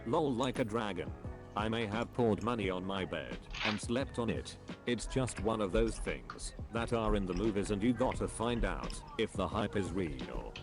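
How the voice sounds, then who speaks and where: A man reading forum posts aloud at a flat, even reading pace. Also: lowish voice. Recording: music playing loudly behind.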